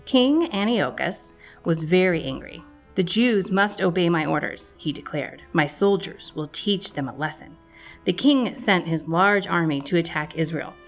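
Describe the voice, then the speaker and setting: A woman's voice: on the low side, lively intonation, unhurried. Woman narrating a children's story over music. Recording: music playing behind the voice.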